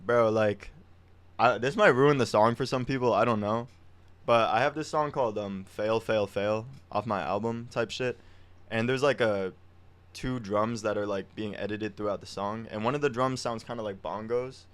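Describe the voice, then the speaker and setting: Young male musician, casual slangy interview chat, deep and clear. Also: normal speed, fairly bright tone. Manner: laid-back slangy, lots of "like". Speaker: musician guest.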